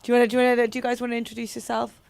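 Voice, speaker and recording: A Welsh woman from near Cardiff, a journalist hosting a festival Q&A, chatty and quick to laugh and tease. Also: fairly high pitch, completely flat delivery, gravelly, darkish tone, clear, resonant tone, dry recording. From from just outside Cardiff. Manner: giggly, chatty, teasing. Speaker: journalist, Q&A host. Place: cinema Q&A stage.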